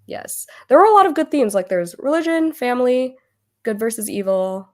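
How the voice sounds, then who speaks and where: A woman hosting a casual book club chat over a video call, losing her voice as she gets over an illness, chatty and quick to laugh. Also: on the high side, lively intonation. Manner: chatty, laughs often, trails off.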